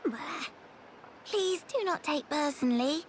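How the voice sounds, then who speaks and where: A woman voicing a small, eager, childlike game creature with excitable, quirky broken speech over music. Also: high voice, unhurried, a little hiss or hum. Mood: apologetic. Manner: excitable, third-person broken phrasing. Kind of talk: video game cutscene acting.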